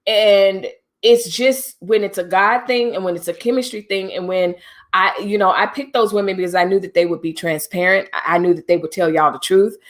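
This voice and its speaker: A woman's voice: medium pitch, steady tone, normal speed. A middle-aged woman hosting a livestream, talking casually and directly to her viewers with preachy asides. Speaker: livestream host.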